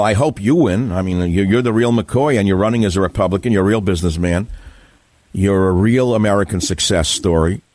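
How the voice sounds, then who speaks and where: Older New York talk host, low and blunt, dry studio mic. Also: male voice, darkish tone, no echo. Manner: combative, blunt, quick questions with rising emphasis. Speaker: radio talk show host. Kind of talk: radio call-in interview.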